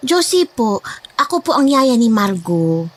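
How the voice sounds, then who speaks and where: A woman's voice: a little high, very animated, measured pace, a little hiss or hum. A woman playing a talkative, frightened nanny in a horror drama, speaking in a gossipy, respectful, dramatic way. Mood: polite. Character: talkative, frightened Filipina nanny sharing a scary secret. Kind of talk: dramatized horror story dialogue.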